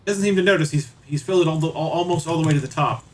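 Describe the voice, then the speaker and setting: Male game streamer: fairly high voice, casual commentary, a little off-mic. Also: racing, darkish tone, distant mic. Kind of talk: casual livestream commentary and reading aloud.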